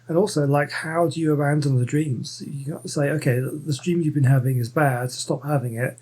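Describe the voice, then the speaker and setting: A man's voice: on the high side, fairly even intonation, a little fast, darkish tone, breathy edge. A man giving casual let's-play commentary, rambling and thinking aloud as he goes. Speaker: gaming streamer.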